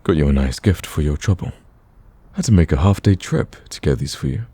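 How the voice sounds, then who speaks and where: Man acting a very deep, husky smitten villain. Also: lively intonation, brisk, dark tone, heavily acted. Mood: earnest, reassuring. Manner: casual, conversational, stammers when flustered. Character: smitten male villain, eager, flustered boyfriend on a date. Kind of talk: romantic ASMR roleplay.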